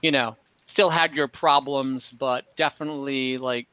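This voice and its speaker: A man's voice: mid-range voice, steady tone, moderate pace, sharp tone, clear, resonant tone. A man running a tabletop game as game master, explaining the world in a conversational, improvised narration over an online call.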